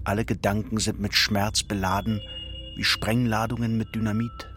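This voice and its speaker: An actor playing a solemn, elegiac narrator who echoes a doomed king's thoughts in measured radio play phrases. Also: on the low side, level tone, fairly bright tone, close to an everyday voice. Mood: grave. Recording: music behind the voice. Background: music.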